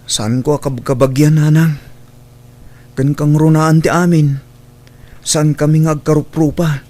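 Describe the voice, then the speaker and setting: A man's voice: fairly high pitch, fairly even intonation, unhurried, darkish tone, natural voice. A man with an Ilocano accent acting a blunt, resentful grown son in a radio drama. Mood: insistent.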